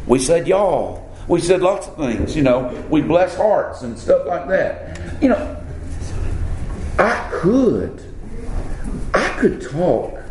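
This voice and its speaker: A man with a Southern accent preaches in a folksy, chatty manner, leaning on little fillers as he goes. His voice sits a bit high for a man. The room is echoey, with a faint hum of ambience behind him. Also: darkish tone. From born South Alabama, grew up North Florida. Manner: folksy, conversational, many "you know" fillers. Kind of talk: sermon.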